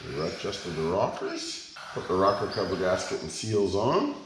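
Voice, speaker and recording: Australian man, 50s, froggy hoarse voice, laid-back vlog chat, noisy workshop. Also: low voice, sing-song, moderate pace, reverberant. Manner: laid-back, jokey, calls everyone mate. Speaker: vlog host, restoring a 4WD. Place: home garage workshop.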